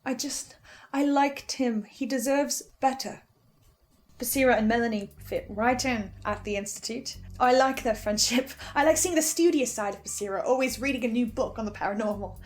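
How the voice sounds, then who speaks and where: A woman giving a casual, animated recap and reaction, often interrupting herself with asides. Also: fairly high pitch, moderate pace. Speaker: host of a podcast reaction show.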